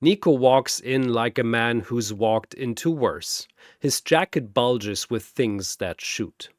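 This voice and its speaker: Slow, dramatic male storyteller. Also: mid-range voice, no echo, clean studio sound. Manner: dramatic, wry, deadpan asides. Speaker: narrator. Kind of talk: scripted narration.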